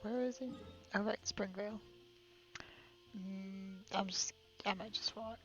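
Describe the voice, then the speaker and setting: Female game streamer, mid-range voice. Casual off-the-cuff commentary, thinking out loud, somewhat muffled audio. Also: mid-range voice, fairly even intonation, measured pace, music in the background. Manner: chatty, thinking aloud to herself. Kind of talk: casual live commentary while playing a game.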